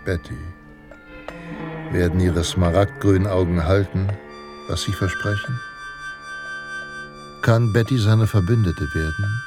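A man narrating a radio drama in a calm, measured storytelling manner. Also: low-pitched. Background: music.

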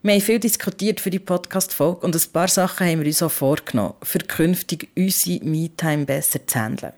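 A woman's voice: fairly low pitch, a little fast, darkish tone. A woman with a Swiss German accent hosting a podcast about parenting in a candid, colloquial, conversational way.

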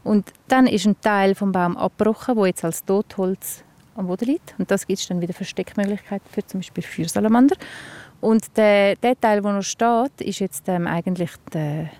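A woman's voice: mid-range voice, moderate pace, darkish tone, no echo. A woman with a Swiss German accent, a forest ranger explaining nature to a child in spontaneous speech outdoors.

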